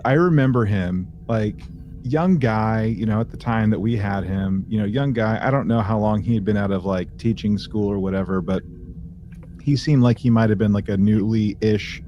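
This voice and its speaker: A man's voice: on the low side, moderate pace, mellow, lo-fi sound, a little hiss or hum. A man co-hosting a podcast in casual conversation, rambling and joking in slangy everyday language. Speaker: podcast co-host. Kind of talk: casual chat and anecdotes between friends.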